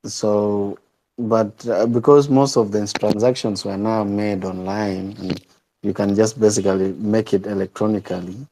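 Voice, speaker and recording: A man from South Sudan, a guest on a casual podcast call, speaking haltingly and repeating words as he starts. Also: on the low side, steady tone, dark tone, rough recording. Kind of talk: casual chat on a group audio call.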